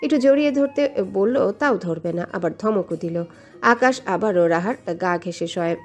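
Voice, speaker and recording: A woman's voice: mid-range voice, expressive, normal speed. A woman reading a scripted romantic story as its narrator. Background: background music.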